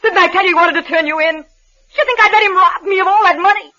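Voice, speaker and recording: Shrill, scornful murderous wife. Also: female voice, fast talker, bright, forceful tone. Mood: scornful fury. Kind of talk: 1940s radio melodrama acting. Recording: dull old radio broadcast.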